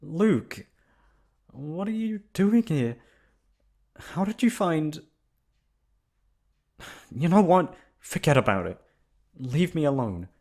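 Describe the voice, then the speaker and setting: Defiant teenage fairy-tale princess, played by a man, pitched up, blunt and indignant. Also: sing-song, slow pace, pauses often, darkish tone, heavily acted.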